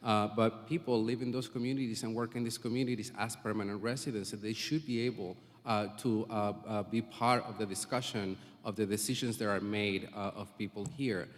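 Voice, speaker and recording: A man's voice: fairly low pitch, fairly even intonation, brisk, hardly any pauses. A man testifying at a public hearing, earnest and full of filler sounds. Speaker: community advocate testifying. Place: public hearing in a school building. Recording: long reverb of a hearing hall.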